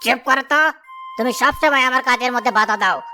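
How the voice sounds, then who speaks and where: Jealous, stubborn cartoon samosa seller played by a woman, indignant, slightly high voice, music clearly behind. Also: brisk, sharp tone. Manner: indignant, boastful. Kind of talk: animated moral-story cartoon dialogue.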